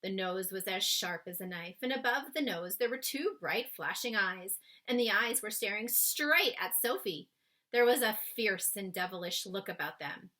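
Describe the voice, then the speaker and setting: A woman reading a children's story aloud in an expressive, suspenseful way, stretching key words for emphasis and chatting to young listeners. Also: medium pitch, normal speed. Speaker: children's book read-aloud reader.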